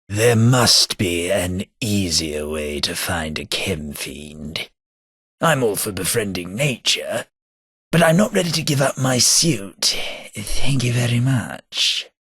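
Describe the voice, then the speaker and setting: A man's voice: on the low side, sharp tone, breathy edge, dry recording, studio quality, full character voice. An actor with an English accent playing a polite, dryly witty undead male clerk in a game, in refined speech. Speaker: companion character. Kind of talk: game voice lines.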